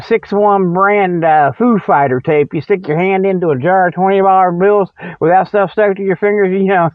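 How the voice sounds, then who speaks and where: A man's voice: sits high, no echo. A man hosting a rambling comedic radio show, folksy and repetitive, with a habit of chuckling at his own jokes. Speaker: show host. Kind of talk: rambling comedic radio monologue with mock sponsor reads. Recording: tinny phone-like radio audio.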